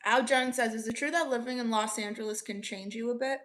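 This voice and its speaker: A woman's voice: fairly high pitch, fairly even intonation, fairly quick, fairly bright tone. A young woman from Orlando, Florida, chatting casually with viewers as livestream host, upbeat and laughing often. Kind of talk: casual chat reading viewer comments.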